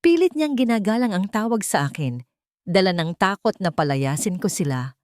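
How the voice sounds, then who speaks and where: A woman with a Filipino accent reading a scripted first-person dramatic story in a deliberate storytelling manner. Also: medium pitch, big pitch swings, a little mellow, no echo, clean studio sound. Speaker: narrator of an audio love-and-revenge drama.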